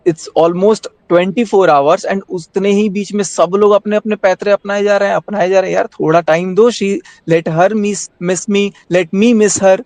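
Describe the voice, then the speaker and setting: A man's voice: sits high, fairly even intonation, a little slow, few pauses, darkish tone, no room sound. A man in a heated group discussion, insistently repeating himself, talking over others and switching between Hindi and English. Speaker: panel participant at the centre of the dispute.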